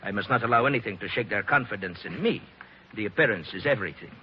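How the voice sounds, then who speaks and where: A man's voice: fairly low pitch, lively intonation, fast talker, close to an everyday voice. Suave Italian-accented bandit chief, played by a man, old radio. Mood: cool, menacing. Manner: courtly, mock-polite, boastful. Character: suave, vain male Sicilian bandit chief, menacing and theatrical. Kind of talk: 1940s radio drama acting. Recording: dull, noisy old radio recording.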